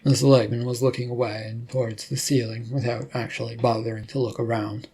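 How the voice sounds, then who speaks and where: A man with a slightly husky voice reading scripted story narration. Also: medium pitch, flat delivery, fairly quick, mellow.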